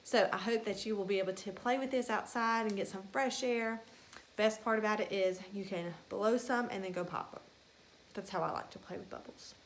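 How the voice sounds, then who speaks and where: A woman's voice: mid-range voice, normal speed, slight background noise. A woman talking to young children like a teacher at a storybook read-aloud, using simple phrasing and questions to her listeners.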